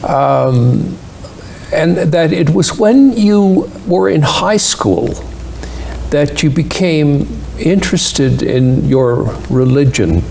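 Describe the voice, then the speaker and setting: A man hosting an interview, asking measured questions with frequent pauses and fillers. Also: a little high, lively intonation, gravelly, darkish tone, slight background noise. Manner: deliberate with many hesitations and fillers. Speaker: interview host.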